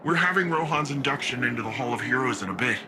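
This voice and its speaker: A youthful sci-fi rookie hero voiced by a man with a middling, expressive pitch. He sounds casual, candid and a little unsure, a conversational game character with clear noise around him. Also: fast talker, close to an everyday voice. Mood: hopeful. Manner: informal, candid. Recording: clear ambient noise behind.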